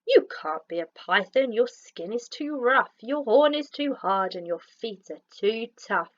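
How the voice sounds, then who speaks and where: A curious jungle python from a children's storybook , voiced by a woman reader, speaking slowly and bluntly. The sound is slightly muffled. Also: a little high, expressive.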